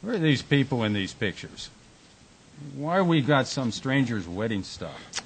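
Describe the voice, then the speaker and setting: A man's voice: mid-range voice, big pitch swings, unhurried, slightly rough, heavily acted, rough recording. A man acting a teasing, devoted husband and Navy veteran in a radio drama, wisecracking and plain-spoken.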